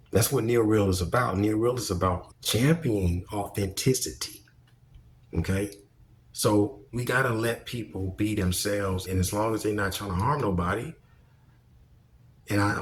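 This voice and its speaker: A man's voice: fairly low pitch, slight background noise. A man giving casual opinion commentary as a vlogger, speaking bluntly and emphatically with plenty of swearing.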